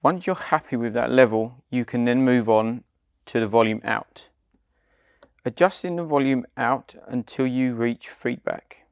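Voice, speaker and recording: A British man presents a scripted product tutorial in a mid-range voice with a gravelly edge. His phrasing is measured and deliberate, moving step by step. The sound is dry, with very little room in it. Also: mid-range voice, no echo. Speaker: product demonstrator. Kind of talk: scripted step-by-step instructional narration.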